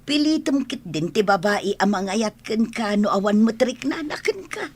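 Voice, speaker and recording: A woman's voice: medium pitch, sing-song, moderate pace. An actress playing an exasperated, protective elderly mother in a radio drama, with an Ilocano accent. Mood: disapproving.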